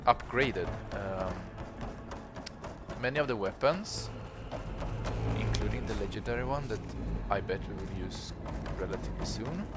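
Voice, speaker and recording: Male gaming host, casual thinking-aloud commentary, lowish voice, loud music behind. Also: unhurried. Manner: relaxed, thinking aloud with fillers. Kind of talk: casual let's-play commentary. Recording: voice over loud game music.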